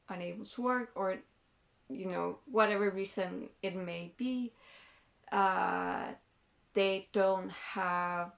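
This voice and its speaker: A woman's voice: lowish voice, creaky. A woman from Denmark hosting her own vlog, explaining thoughtfully with many fillers and restarts. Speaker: channel host.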